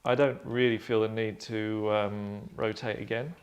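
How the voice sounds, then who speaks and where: A man's voice: on the low side, steady tone, a little slow. A man in his thirties talking casually and dryly in a group discussion, rambling as he goes, like a writer hosting a chat. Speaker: writer and host. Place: furnished indoor lounge.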